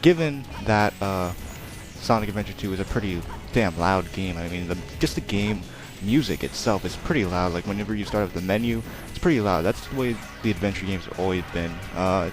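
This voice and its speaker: Quiet low-voiced young man rambling. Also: brisk. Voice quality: naturally quiet voice. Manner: rambling, chatty, self-deprecating, many fillers. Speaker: let's play commentator. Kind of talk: casual gameplay commentary. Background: game music.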